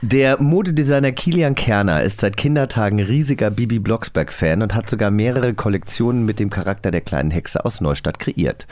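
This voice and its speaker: A man's voice: lowish voice, animated, a little fast, few pauses, a little mellow. A man hosting a podcast in casual, teasing, bantering chat. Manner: teasing, joking. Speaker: podcast host.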